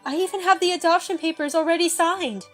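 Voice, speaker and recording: A woman's voice: extremely high pitch, quick pace, faint music behind. A woman voicing a fretful adoptive mother who scolds and soothes in turn, in an amateur visual novel dub. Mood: warm. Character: anxious doting adoptive mother, fussy and tender.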